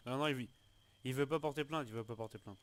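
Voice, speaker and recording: A man's voice: mid-range voice, fast. A man improvising as a suspect in an online roleplay, arguing and repeating himself in colloquial speech. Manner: protesting, argumentative, repeats himself. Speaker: player acting a suspect in an online roleplay game.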